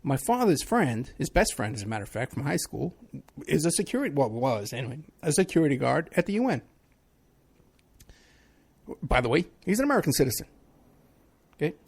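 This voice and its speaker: A man's voice: fairly high pitch, sing-song, a little fast, creaky, long pauses, slightly rough. A man from New City, hosting a talk radio show in a rambling, sarcastic monologue. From New York City. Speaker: radio show host, private investigator.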